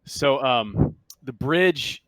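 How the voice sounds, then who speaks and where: A man running a tabletop game, narrating off the cuff in a halting, conversational, explanatory way. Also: mid-range voice, animated, fairly bright tone, dry recording, rough recording. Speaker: game master.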